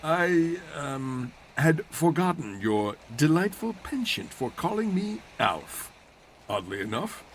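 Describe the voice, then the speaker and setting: A polite old manservant played by a male actor in a superhero audio drama. The voice sits a little high and moves up and down expressively, formal and deferential with dry humour, over faint rainy ambience. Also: big pitch swings, takes it slowly, a little hiss or hum, exaggerated voice. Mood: polite, reserved. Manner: formal, polite, drily witty. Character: dry-witted, deferential elderly male butler.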